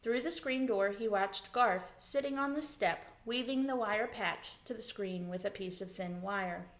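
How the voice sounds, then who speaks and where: A woman reading a children's story aloud as a teacher, in expressive narration. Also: medium pitch, unhurried.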